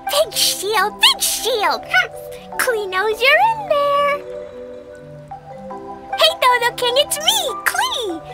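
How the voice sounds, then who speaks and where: A bouncy little girl in a video game, with a squeaky, extremely high voice. She is bold and innocent, very sing-song in her excitement, over loud playful music. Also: very slow, long pauses, exaggerated voice. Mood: excited, bold. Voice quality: squeaky, childish. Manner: bouncy, exclamatory. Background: prominent playful children's music.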